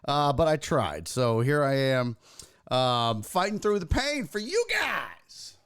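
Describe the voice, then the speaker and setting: A man hosting a casual livestream sports talk show, hoarse and raspy from losing his voice, joking crudely and mocking himself as he chats with viewers. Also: fairly high pitch, wildly swooping pitch, slow, fairly bright tone, no echo, clean studio sound. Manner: crude, self-mocking banter with chat. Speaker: host.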